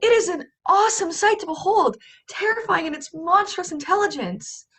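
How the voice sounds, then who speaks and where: A woman's voice: extremely high pitch, lively intonation, fairly bright tone, exaggerated voice. A teenage woman playing a flustered, awestruck radio reporter in a melodramatic student play over a video call. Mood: awestruck, alarmed. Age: teens. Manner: melodramatic, halting when flustered. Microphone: computer microphone on video call.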